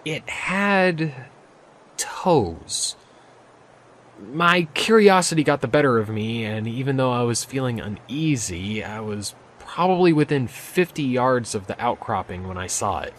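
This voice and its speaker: Man acting hesitant park ranger, slow and swooping. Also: mid-range voice, expressive, fairly bright tone, a little hiss or hum. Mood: uneasy, intrigued. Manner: halting, hesitant. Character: uneasy male park ranger recounting a strange sighting. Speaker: park ranger in an audio drama. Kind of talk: audio drama dialogue.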